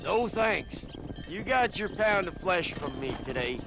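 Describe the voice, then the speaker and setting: Creaky grumbling old cowboy. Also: male voice, sits high, very animated, unhurried, over loud music, full character voice, poor audio. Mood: aggrieved. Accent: American Western drawl. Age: older. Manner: folksy, complaining, wry. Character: lazy, grumbling, folksy old ranch-hand man. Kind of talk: video game dramatic acting. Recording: faint outdoor noise with horses.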